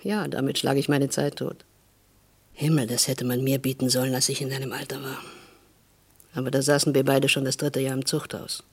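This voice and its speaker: An actress playing a motherly, resolute middle-aged woman, earnest and measured, in a German radio play. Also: rumbling low, little pitch movement, breathy edge, full character voice. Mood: wistful. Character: motherly, resolute childless older neighbour woman, former prisoner.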